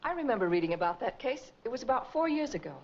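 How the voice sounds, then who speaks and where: An actress playing a composed, defiant heroine in a 1940s crime film. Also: female voice, fairly high pitch, very animated, fast talker. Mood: thoughtful. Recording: dull, noisy 1940s film soundtrack.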